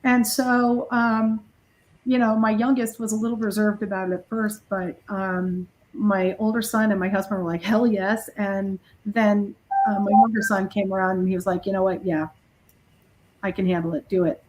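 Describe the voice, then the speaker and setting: A woman's voice: medium pitch, level tone, normal speed, a little mellow, slight background noise, low-quality recording. A middle-aged woman, a heavy metal musician being interviewed, chatting casually and telling stories in a blunt, self-deprecating way. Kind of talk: casual interview chat, anecdotal storytelling.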